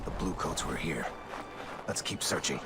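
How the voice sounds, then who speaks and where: A very deep-voiced solemn warrior. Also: male voice, lively intonation, normal speed, over loud music. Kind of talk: video game cutscene acting.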